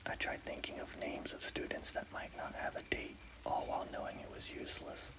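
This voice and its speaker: A man narrating a scary story as ASMR in a breathy, unvoiced whisper. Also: brisk.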